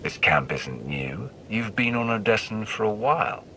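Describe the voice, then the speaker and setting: A man's voice: fairly low pitch, sing-song, normal speed, gravelly, sharp tone, a little hiss or hum, full character voice. A man playing a stern, guarded warrior in a video game, blunt, dry and skeptical.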